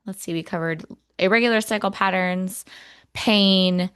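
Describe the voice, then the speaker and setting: A woman's voice: medium pitch, unhurried, fairly bright tone, smooth, no room sound, clean studio sound. A woman hosting a podcast, chatting conversationally with fillers as she steers the interview. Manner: conversational, with fillers and agreeing murmurs. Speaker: podcast host. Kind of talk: casual interview chat.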